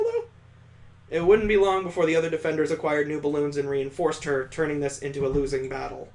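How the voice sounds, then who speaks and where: Male let's-play host, lightish higher pitch, dramatic story reading with incredulous joking asides. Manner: dramatic narration broken by amused, incredulous commentary. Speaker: gaming channel host doing a let's play. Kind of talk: reading visual novel text aloud with joking asides.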